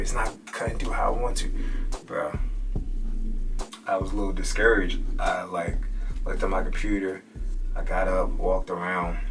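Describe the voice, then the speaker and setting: A man's voice: low voice, far from the mic, poor audio. Casual young man telling a studio story over music. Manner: loose and profane, acts out his own past remarks, drops to a whisper at times. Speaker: rapper explaining how he made a track. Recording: voice from off-mic with music playing behind.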